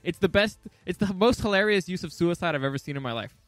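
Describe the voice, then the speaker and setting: A man hosting a podcast in a casual, comedic style, excitable, jokey and free with swearing. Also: sits high, fast talker, no room sound. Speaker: podcast host.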